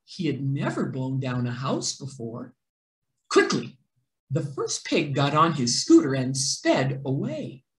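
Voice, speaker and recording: A middle-aged woman narrates a picture book with theatrical expression. Her pitch swings widely while the pace stays slow and deliberate. The small room gives her voice a clear echo. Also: huge pitch swings. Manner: theatrical, deliberate, stressed words. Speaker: children's read-aloud presenter. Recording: roomy indoor echo.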